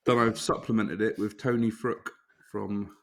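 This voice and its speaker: A man with a low, flat voice co-hosts a podcast, going through his list in a steady, matter-of-fact way. He keeps an even tone with little rise or fall. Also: moderate pace, creaky, a loud crowd. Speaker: podcast co-host. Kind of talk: casual chat.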